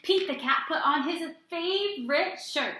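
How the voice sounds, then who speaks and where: A woman teacher reading aloud to young children in a deliberate, sing-song way, asking questions and answering them herself. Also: sits high, animated, bright, forceful tone, echoey room, a little hiss or hum. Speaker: early-grades teacher.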